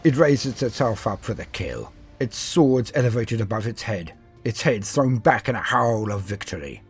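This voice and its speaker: A man narrating a scripted story as a host, in a theatrical, dramatic way. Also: deep voice. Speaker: narrator and host of a lore channel.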